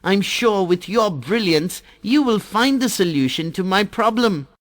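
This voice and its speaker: A man's voice: high voice, lively intonation, measured pace, a bit bright, pitched above the performer's own voice. A man voicing a restless, bored little boy who is a drop of water, talking in a whiny, pleading way.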